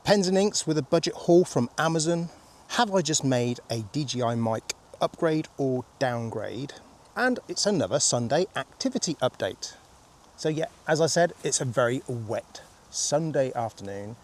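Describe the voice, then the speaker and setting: A man in his fifties, throaty and husky from a cold, chatting casually and rambling with easy laughs as he vlogs on a rainy countryside walk. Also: medium pitch, expressive, normal speed, slight background noise. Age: 50s. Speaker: vlogger. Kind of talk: casual walking vlog.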